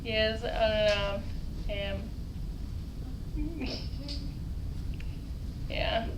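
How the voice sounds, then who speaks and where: A woman from Los Angeles giving a classroom presentation and answering questions, slow and hesitant with many pauses, speaking on a headset mic. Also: medium pitch, flat delivery, background noise. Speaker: student presenter. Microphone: headset mic through a speaker.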